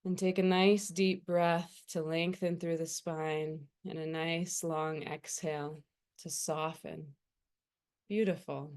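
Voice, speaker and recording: Woman, low-pitched, very slow, gentle and soothing, guided meditation instruction, pauses between phrases. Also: little pitch movement. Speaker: meditation teacher.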